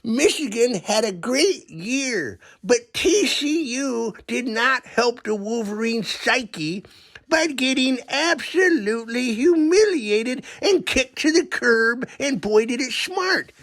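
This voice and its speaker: Rambling comic sports-fan caller put on by a performer, crude and punning, creaky voice, unhurried delivery. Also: mid-range voice, lively intonation, a bit bright, full character voice. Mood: jokey, rambling. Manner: rambling, slow, pun-happy comic delivery.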